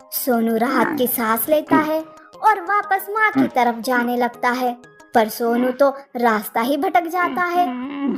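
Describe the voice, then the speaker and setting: A woman narrating a scripted children's story as a storyteller, with faint music underneath. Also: high-pitched.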